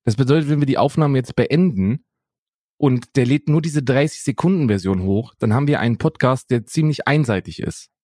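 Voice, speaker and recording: A man's voice: medium pitch, a little fast, darkish tone, no echo, studio quality. A man co-hosting a podcast in casual chat, rambling with many fillers and restarts, recorded over a remote call. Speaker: podcast co-host.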